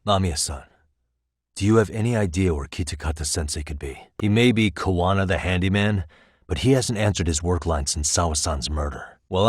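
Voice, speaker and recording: A deep American male detective for a dramatic video game, recorded close and dry. He is composed and probing, questioning in a controlled, pointed way with a wry, hard edge and some huskiness to the tone. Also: slightly rough, no room sound. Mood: probing, firm. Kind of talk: video game voice acting, dramatic interrogation dialogue.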